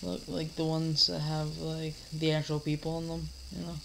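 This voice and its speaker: A man's voice: fairly high pitch, level tone, normal speed, gravelly, fairly bright tone, slight background noise, low-quality recording. A teenage boy coming down with a cold, rambling casually through a home vlog with lots of fillers and restarts. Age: teens. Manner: mock-scolds an imaginary heckler. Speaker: vlogger. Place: dim living room, seated in a recliner.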